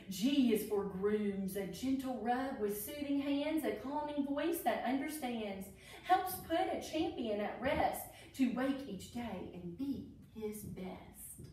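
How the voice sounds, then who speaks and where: Female children's story reader: high pitch, expressive delivery, heavy room echo. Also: a little hiss or hum. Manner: animated, expressive, addressing children. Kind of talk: read-aloud for children with explanatory asides. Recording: echoey room, distant mic.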